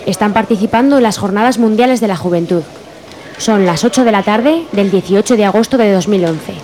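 A woman's voice: mid-range voice, a little fast, warm tone, rough recording. A woman from narrating a scripted radio drama. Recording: narration over crowd noise.

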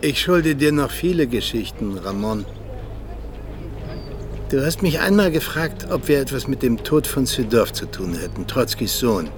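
An actor playing a cynical, disillusioned Soviet agent and former mentor in a radio drama, confessing in weighty, deliberate phrases. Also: male voice, medium pitch, expressive, close to an everyday voice. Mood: grave confession. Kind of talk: German radio drama acting.

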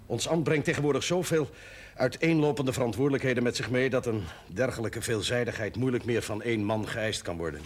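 A man's voice: medium pitch, brisk, close to an everyday voice. An actor playing a burdened, conscientious clergyman in a television drama, speaking solemnly and formally. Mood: troubled, apologetic. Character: burdened, conscientious man in pastoral office. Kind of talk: Dutch television period drama acting. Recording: noisy old television soundtrack.

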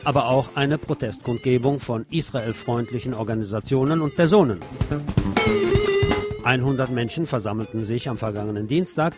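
A man presenting scripted radio news commentary as host, wry and deliberate, with emphatic phrasing. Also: mid-range voice.

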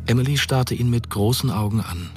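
A man's voice: mid-range voice, a little fast, over music. A man narrating a radio drama from a script.

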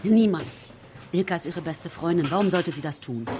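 A woman's voice: lowish voice, animated, over loud music, lightly acted. A woman playing a defensive mother. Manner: brisk and defensive, dismissive. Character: defensive middle-aged mother working as a waitress.

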